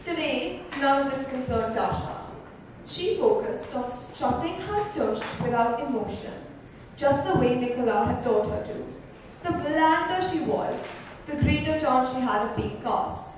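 Female narrator with a high voice, reading prose in a heavily reverberant room. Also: expressive, a little slow. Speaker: reader in a dramatic book reading. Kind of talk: literary narration read aloud. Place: club lounge, indoors. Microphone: far microphone. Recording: distant mic, very echoey room, faint noise.

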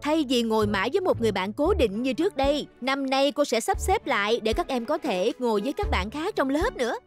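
High-voiced, cheerful schoolteacher. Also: female voice, sing-song, fairly quick, over music. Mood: instructive. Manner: addresses children in encouraging, instructive way. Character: cheerful, kindly woman schoolteacher. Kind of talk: Vietnamese cartoon dub.

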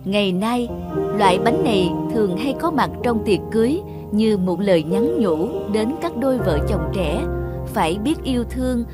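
A woman's voice: mid-range voice, very animated, normal speed. A woman narrating a children's fairy tale from a script. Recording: music behind the voice. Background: music.

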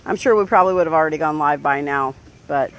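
A woman hosting a pet vlog, chatting casually and playfully to animals and viewers, with sing-song exclamations and drawn-out words. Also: deep voice, slight background noise. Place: outdoors in a backyard by a pool.

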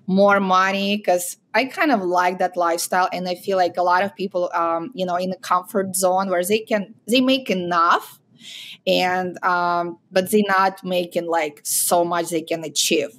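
Guest: Ukrainian-accented woman in her thirties, upbeat, laughs easily, video call audio. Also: lowish voice. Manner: cheerful, laughs often, simple non-native grammar. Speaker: guest, real estate agent. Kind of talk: casual interview chat. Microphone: video call, laptop camera.

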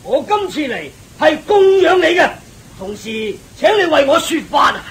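A man's voice: extremely high pitch, big pitch swings, normal speed, fairly bright tone, full character voice. An actor playing a sly, cowardly demon king posing as a god, with a wheedling, whining manner, in a radio drama. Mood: fawning, wheedling. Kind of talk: Cantonese radio drama acting.

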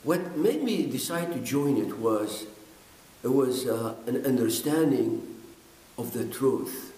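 An older man from Iraq gives a personal testimony straight to the camera, talking earnestly and haltingly with repeated words and fillers. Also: medium pitch, lively intonation, mellow. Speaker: pastor. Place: indoors, in front of a bookshelf. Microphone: camera at close range. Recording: echoey room.

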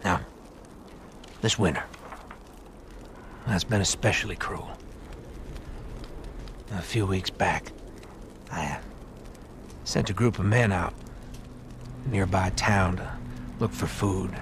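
An actor playing a calm, soft-spoken middle-aged American survivor leader in a video game, measured and halting, with quiet menace. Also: male voice, low voice. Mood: quietly menacing. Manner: measured, deliberate, halting pauses. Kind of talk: naturalistic video game cutscene acting. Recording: clear background noise.

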